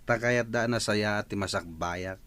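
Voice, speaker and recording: A man's voice: on the low side, moderate pace, a bit bright, dry recording, close to an everyday voice. A man playing a drunken, aggrieved grown son in an Ilocano comedy radio drama, sulky, mumbling and bursting out, with an Ilocano Filipino accent.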